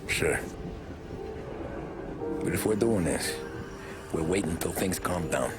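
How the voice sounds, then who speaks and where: A wary 1930s gangster, low and gravelly with a lively, shifting delivery. He keeps his words short and guarded, over loud orchestral music. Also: slow pace. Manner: gruff, guarded and terse. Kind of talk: game cutscene acting.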